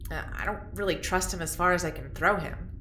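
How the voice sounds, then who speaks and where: A woman's voice: fairly low pitch, fairly quick, a bit bright, natural voice. A woman playing a blunt, sarcastic, casual young woman in an audio drama. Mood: snarky. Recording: echoey room, faint noise.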